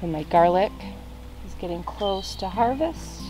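A woman's voice: mid-range voice, lively intonation, a little slow, music in the background, rough recording. A young woman casually walking through her backyard vegetable garden outdoors, chatting as she points out plants to a handheld camera. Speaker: gardening vlogger.

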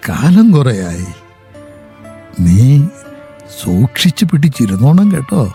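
A man's voice: medium pitch, mellow. Man playing an old grieving father. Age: older. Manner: slow, heartfelt, sorrowful. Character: aging widowed father, tender, clinging to home. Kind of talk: radio drama acting.

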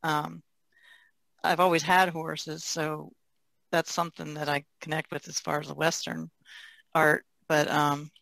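Gravelly woman in casual, even-toned talk. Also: deep voice, a little slow, long pauses, dry recording. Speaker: artist, podcast guest. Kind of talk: casual discussion.

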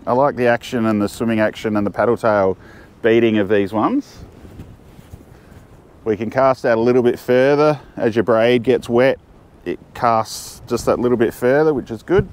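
A man's voice: medium pitch, normal speed, darkish tone. A middle-aged Australian man chatting casually to camera while fishing from a jetty, thinking aloud and restarting his sentences. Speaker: fishing vlogger. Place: outdoors on a windy jetty.

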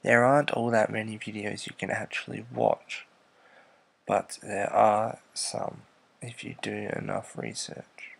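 A man narrating a screen-recorded walkthrough, explaining slowly and evenly and letting his sentences trail off quietly. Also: fairly low pitch, little pitch movement, vocal fry, husky. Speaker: YouTuber.

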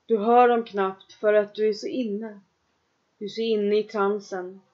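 A woman leading a guided trance meditation, giving calm, slow instructions in the second person with long pauses. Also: medium pitch, highs cut off.

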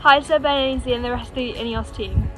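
A woman's voice: on the high side, brisk, bright, forceful tone, faint music behind, rough recording. A teenage girl giving a cheerful, direct good-luck video message.

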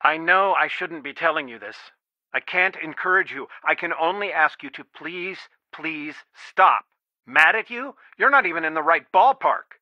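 A man's voice: a little high, measured pace, no room sound. An actor playing a worried, protective middle-aged father in a video game, earnest and pleading, heard through a telephone filter. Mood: upset, pleading. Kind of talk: naturalistic video game dialogue, one side of a phone call. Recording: dry, band-limited phone-like sound.

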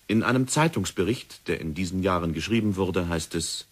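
A male narrator tells the story in a measured, sober way. His voice is very deep and husky, like a vintage radio documentary. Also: expressive. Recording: old radio play recording.

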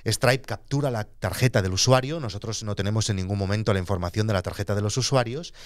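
Calm low-voiced man, dry studio sound. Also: quick pace, no echo. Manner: calm, methodical, explains terms as he goes. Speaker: podcast host and entrepreneur. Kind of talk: explanatory business monologue. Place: small studio in front of a blue backdrop. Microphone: studio microphone on a boom arm.

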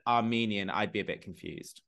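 A man's voice: on the low side, fairly quick. A man, chatting casually and expressively on a video call from home. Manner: expressive, opinionated, gestures while talking. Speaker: co-host. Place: home room.

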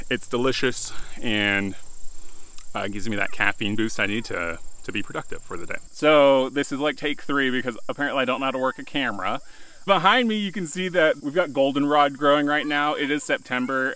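A man in his thirties chats loosely into a phone held at arm's length while he walks around his rural yard. His tone is bright and forward. He pokes fun at himself and sometimes restarts a sentence. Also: medium pitch, low-quality recording. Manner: chatty, loose and self-deprecating, with some restarts. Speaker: homestead vlogger. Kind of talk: casual outdoor vlog. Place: outdoors in a rural yard and woods. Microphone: handheld phone camera at arm's length.

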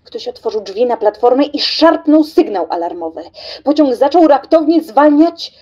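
Female narrator for radio drama: mellow, breathy voice, scripted dramatic storytelling. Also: sits high, animated, moderate pace, lo-fi sound.

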